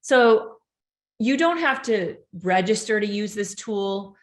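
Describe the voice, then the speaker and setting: A woman giving an informational presentation, brisk and upbeat, explaining things step by step and answering audience questions. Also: mid-range voice, lively intonation. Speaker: presenter of a benefits seminar.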